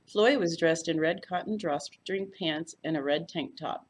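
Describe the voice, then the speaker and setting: A woman's voice: fairly low pitch, measured pace, a little hiss or hum. A woman reading a first-person mystery story aloud as a volunteer narrator. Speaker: volunteer audiobook reader.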